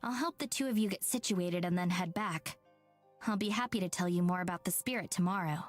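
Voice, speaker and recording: A woman's voice: fairly low pitch, a little fast, soft background music, lightly acted. A woman voicing an intense, guarded and brusque local woman, matter-of-fact, in a visual novel game.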